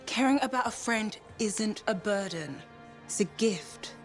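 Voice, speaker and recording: A woman's voice: mid-range voice, animated, measured pace, lightly acted. A woman playing an earnest, slightly anxious tech ally in a cinematic video game. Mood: caring. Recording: music behind.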